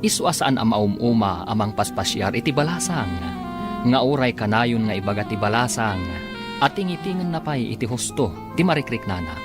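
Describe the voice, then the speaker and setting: A man's voice: mid-range voice, expressive, normal speed, music in the background. A man narrating and announcing a radio drama in a formal announcing manner.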